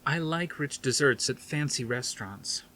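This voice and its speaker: A man's voice: mid-range voice, lively intonation, moderate pace, bright, forceful tone, slight background noise, voice pushed up. A man reading a novel aloud as a snooty, socially ambitious woman with pointed delivery. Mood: snotty.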